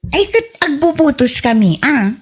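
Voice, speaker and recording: A woman's voice: high-pitched, sing-song, moderate pace, dull audio. A woman playing a modest, chatty village wife who exclaims conversationally in a comedy radio drama.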